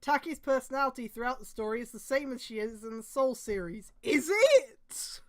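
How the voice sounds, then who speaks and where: A woman's voice: fairly high pitch, lively intonation, moderate pace, crowd noise. A woman streamer reading fan fiction aloud, with sweary, exasperated commentary and emphatic delivery. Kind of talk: mocking read-aloud with casual commentary.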